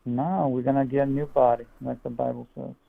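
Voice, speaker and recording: A man's voice: medium pitch, little pitch movement, a little fast, creaky, no echo. A young man chatting casually over a phone line, guarded and noncommittal but easygoing. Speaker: person on the other end of a call. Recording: tinny, narrow phone line.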